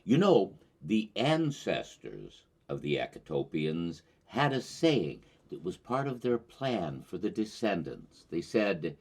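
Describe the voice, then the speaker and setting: A man with a low voice hosts a commentary show. He speaks slowly and deliberately in rhetorical, scripted phrases. His intonation swings widely, giving key words dramatic emphasis. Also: very animated, darkish tone. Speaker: host of a climate commentary show.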